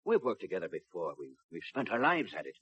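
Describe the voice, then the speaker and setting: An actor playing a blunt, persuasive veteran city police inspector with a raspy voice in a 1950s radio drama. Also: male voice, sits low, big pitch swings, quick pace, fairly bright tone, no room sound, heavily acted. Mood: persuasive, earnest. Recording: dull, dry 1950s radio recording.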